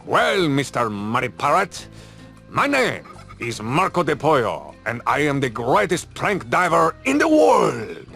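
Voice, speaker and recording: An actor playing a vain, aging champion diver in a comedy video game, speaking in a florid, grandiose manner. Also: male voice, on the high side, huge pitch swings, measured pace, gravelly, a bit bright, faint music behind, full character voice. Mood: boastful. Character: boastful, theatrical aging plank-diving champion.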